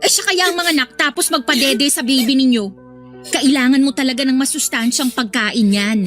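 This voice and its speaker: A woman's voice: sits high, brisk, a bit bright, faint music behind. A young woman playing a protective, blunt and emphatic best friend in an audio drama. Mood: indignant.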